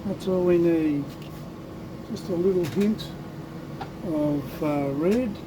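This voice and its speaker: Croaky, slow-talking man. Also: on the high side, noisy background. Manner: unhurried, thinking aloud while working, with many ums. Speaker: lure painter demonstrating. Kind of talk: casual hands-on tutorial commentary.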